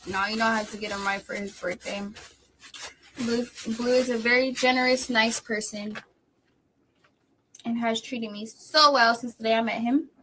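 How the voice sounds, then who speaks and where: Giggly woman hosting a stream, kinda high voice, lots of noise behind. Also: steady tone, normal speed, long pauses. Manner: giggly, laughs often. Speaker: livestream host. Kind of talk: casual chat. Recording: noisy livestream audio.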